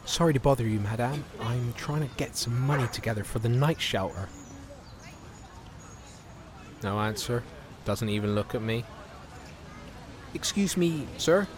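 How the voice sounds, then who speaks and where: A man's voice: medium pitch, animated, normal speed, crowd noise, close to an everyday voice. An actor playing a desperate young homeless man in an audio drama, breathless and chesty, pleading and confessional. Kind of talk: audio drama acting, dialogue and monologue.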